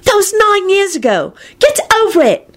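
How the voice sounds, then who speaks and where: A woman's voice: high voice, wildly swooping pitch, brisk, voice pushed up, heavily acted. Screechy, fiery young woman, as a reader plays her. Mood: disgusted. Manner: screechy, scolding.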